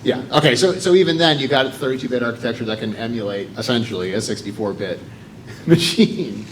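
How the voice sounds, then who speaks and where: A man's voice: mid-range voice, animated, fairly quick, slight background noise, rough recording. An American man speaking on a convention panel, talkative and explanatory, with frequent fillers. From United States.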